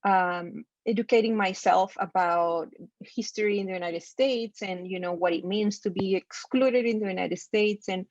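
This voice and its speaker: A woman from Costa Rica with a Spanish accent, a design professor speaking thoughtfully and haltingly on a video call panel. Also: mid-range voice, darkish tone, no echo. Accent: Costa Rican Spanish. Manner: thoughtful, halting, many fillers and restarts. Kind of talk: roundtable discussion. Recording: dull lo-fi video call audio.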